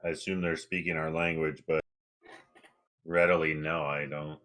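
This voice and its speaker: A man playing a tabletop game, speaking slowly and hesitantly. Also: very deep voice, little pitch movement, fairly bright tone. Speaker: player.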